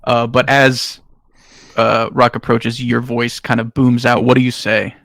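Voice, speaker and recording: A man's voice: mid-range voice, level tone, unhurried, gravelly, a bit bright, no echo, clean studio sound. A man improvising narration as game master in a tabletop role-playing game, speaking directly to his players.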